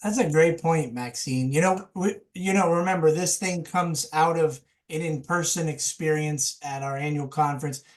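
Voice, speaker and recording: A man's voice: a little high, normal speed, few pauses. Enthusiastic man hosting a video call. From Connecticut. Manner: enthusiastic, repeats phrases. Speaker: host. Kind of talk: casual video call discussion.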